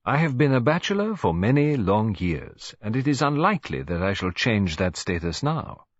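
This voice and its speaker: A man's voice: fairly low pitch, big pitch swings, moderate pace, no echo. A man voicing a courteous, fussy older male detective who speaks with formal precision, on a dull, dry recording.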